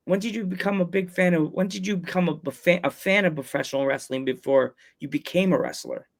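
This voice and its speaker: A man's voice: high voice, fast talker, creaky. A man hosting a wrestling podcast interview, enthusiastic and often restarting his questions. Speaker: podcast host. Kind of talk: casual interview.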